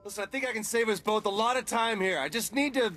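An actor playing a cocky, wisecracking young American superpowered rebel in a video game. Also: male voice, sits high, fast talker, sharp tone, slight background noise. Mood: bargaining. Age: young adult. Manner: wisecracking, sarcastic, casual. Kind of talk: video game cutscene acting. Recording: game cutscene with music behind.

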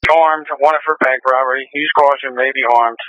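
Bright, forceful male police radio dispatcher. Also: mid-range voice, fairly even intonation, normal speed, no room sound, dull audio. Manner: clipped, repetitive phrasing. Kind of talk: police radio dispatch, repeating locations and descriptions. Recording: police scanner radio transmission.

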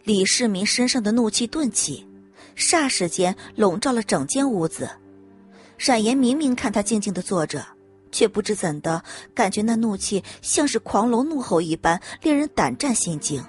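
A woman reading scripted dramatic audiobook narration in an expressive storytelling manner. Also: medium pitch, normal speed, faint music behind.

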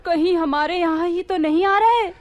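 An actress playing a loyal, wary wife and accomplice in a radio play. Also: female voice, extremely high pitch, moderate pace. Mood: alarmed.

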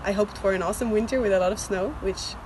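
A woman's voice: mid-range voice, steady tone, fast. A woman in her twenties from northern Italy, a student giving thoughtful, somewhat hesitant interview answers outdoors. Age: 20s. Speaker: exchange student. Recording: outdoor noise.

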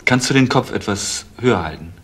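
An actor playing a suspicious, needy young nephew in a crime radio play, with an intense, fixated way of talking. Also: male voice, on the low side, animated, fairly quick, fairly bright tone, husky. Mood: subdued.

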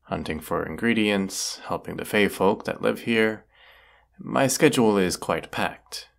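Guy acting as haughty brooding wizard of the woods, audio roleplay, slow and gravelly, dry sarcasm. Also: on the low side. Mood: aloof, mocking. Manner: haughty, sardonic, deliberate. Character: proud, brooding wizard of the woods, male, sardonic.

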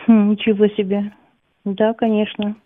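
A woman's voice: medium pitch, level tone, a little slow, slight background noise. Woman on a tinny phone line. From Donetsk, eastern Ukraine. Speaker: caller, schoolteacher of Russian. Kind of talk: unscripted phone conversation. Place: phone call.

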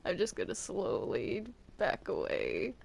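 A woman's voice: fairly low pitch, wildly swooping pitch, unhurried, creaky, a little mellow, slight background noise. A woman chatting casually in a roleplay game, hesitant and often repeating her words. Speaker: player in a Minecraft roleplay.

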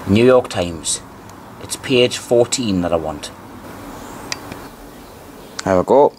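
A man with a lowish voice presents informally, reading printed text aloud. He is deliberate and repeats phrases to stress them. The recording is homemade, with some light noise behind him. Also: animated, a little mellow, low-quality recording. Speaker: amateur video presenter. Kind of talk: informal show-and-tell narration, reading aloud from newspapers.

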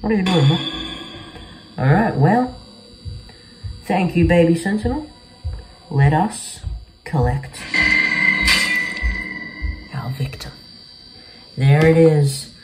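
A man's voice: fairly high pitch, expressive, takes it slowly, pauses often, poor audio. A young man giving casual, slangy game commentary, talking to his viewers in a laid-back way from a bedroom. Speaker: gaming video host. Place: small bedroom, indoors. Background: music.

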